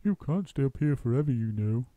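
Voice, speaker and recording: A man voicing a kindly, knowing bird who talks calmly and reassuringly. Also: medium pitch, brisk, dry recording, natural voice, poor audio.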